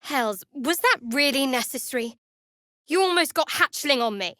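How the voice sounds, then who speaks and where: A woman playing a guarded young half-elf cleric in a fantasy video game, with a dry, sardonic, measured delivery. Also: high-pitched, lively intonation, bright, forceful tone, no echo. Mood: alarmed, angry.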